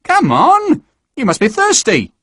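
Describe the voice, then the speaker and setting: A man voicing a small, sharp-featured young man who talks in an eager, coaxing way. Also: very high voice, huge pitch swings, a little slow, no echo, clean studio sound, voice pushed up, full character voice.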